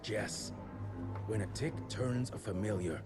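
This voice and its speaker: An actor playing a gruff, seasoned older cowboy fighter in a video game, with folksy, plain-spoken delivery. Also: male voice, on the low side, measured pace, lightly acted. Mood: grave. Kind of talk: video game cutscene acting. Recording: loud music behind. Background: loud music.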